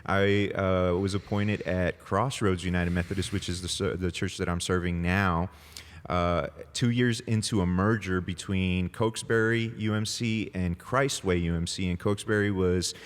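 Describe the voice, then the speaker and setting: A deep-voiced man in his forties from El Salvador, whose first language is Spanish, talking as a pastor guest. He is chatty and easygoing, full of fillers and laughing asides. His voice rings with a long echo in the room. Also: steady tone, moderate pace. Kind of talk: casual interview conversation. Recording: long reverb, echoey room.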